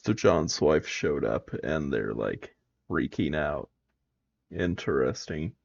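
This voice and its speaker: Slow, gravelly man reacting casually. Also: rumbling low, animated, lo-fi sound, a little hiss or hum. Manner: musing, wry. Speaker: reaction video host.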